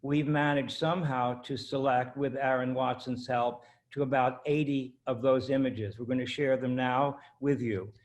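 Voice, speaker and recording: A man's voice: mid-range voice, steady tone, normal speed, few pauses. A man introducing a guest as interviewer, reading through a list steadily with a few fillers. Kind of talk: scripted introduction.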